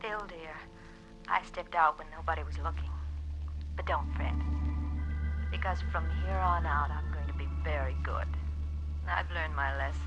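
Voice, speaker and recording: Coy flirt over music. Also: female voice, sits low, steady tone, moderate pace, long pauses, lo-fi sound. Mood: flirtatious. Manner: soft, coaxing, coy. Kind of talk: vintage radio noir acting. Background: clear music.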